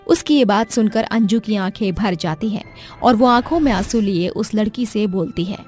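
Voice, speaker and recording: A woman narrates a scripted story in a dramatic storytelling style. Her pitch is middling. Music plays behind her voice. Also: normal speed, a little mellow.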